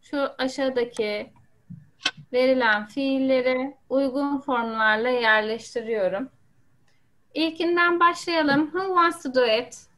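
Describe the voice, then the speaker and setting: A woman's voice: high voice, fairly even intonation, a little slow, a bit bright. A woman with a Turkish accent teaching an English class over a video call, patiently calling on students.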